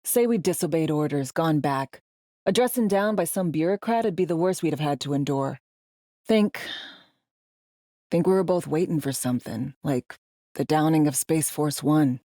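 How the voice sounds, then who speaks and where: A woman acting a brisk, sardonic, foul-mouthed veteran secret agent in video game dialogue, with dry, clipped, conversational phrasing. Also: sits low, mellow, no room sound, close to an everyday voice. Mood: reflective, frank.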